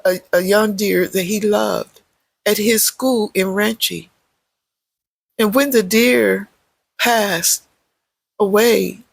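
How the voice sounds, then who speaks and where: Slow, husky meditation guide with creaky voice. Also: mid-range voice, no echo. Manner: gentle, measured, pausing between short phrases. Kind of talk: guided meditation, unscripted spoken guidance.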